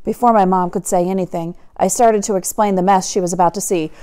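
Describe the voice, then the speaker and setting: A woman teacher reading a children's story aloud in a dramatic, expressive manner, drawing out key words for emphasis. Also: medium pitch, quick pace, clean tone. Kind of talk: animated picture-book read-aloud.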